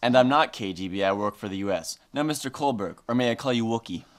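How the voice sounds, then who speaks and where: A man's voice: mid-range voice, quick pace, natural voice. A young man playing an earnest, overdramatic fellow agent in an amateur comedy sketch. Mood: formal. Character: overserious male fellow agent, paranoid.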